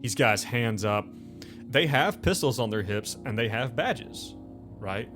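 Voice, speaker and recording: A man narrating a tabletop game as game master, informal and halting, leaning on filler phrases. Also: mid-range voice, expressive. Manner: informal, halting, full of "like" and "you know". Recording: music playing behind the voice.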